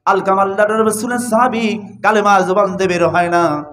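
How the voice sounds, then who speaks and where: A man's voice: sits high, steady tone, moderate pace, fairly bright tone. A man preaching an Islamic story as a sermon, impassioned and emphatic, repeating phrases for effect. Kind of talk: Islamic storytelling sermon, swinging from chanted phrases to loud cries.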